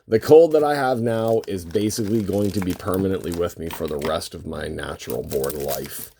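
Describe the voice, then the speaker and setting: A man with a voice worn hoarse by a cold, hosting a casual, unscripted card box opening and rambling as he thinks aloud. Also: low-pitched, normal speed, dark tone, a little hiss or hum. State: losing his voice from a lingering cold. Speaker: host of a trading card box-break channel.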